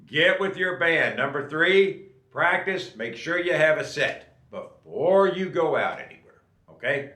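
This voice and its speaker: A man's voice: fairly high pitch, animated, a little slow, pushed and bright, echoey room. A man in his late fifties giving casual, folksy advice to viewers, often checking in with "okay?". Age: 50s. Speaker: drummer and channel host.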